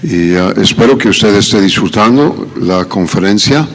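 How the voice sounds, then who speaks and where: A middle-aged man preaching a sermon in a church with a Mexican Spanish accent, pausing on many "ah" fillers and rising to emphatic questions. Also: fairly low pitch, animated. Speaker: visiting preacher. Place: church sanctuary. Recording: echoey church hall.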